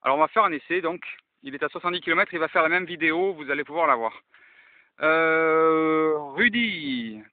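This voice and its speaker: A man's voice: a little high, no room sound. A man casually presenting a radio demonstration as an enthusiast, mixing explanations to viewers with radio call-outs. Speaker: amateur radio enthusiast and video host. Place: outdoors in open countryside. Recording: thin, phone-like band-limited sound.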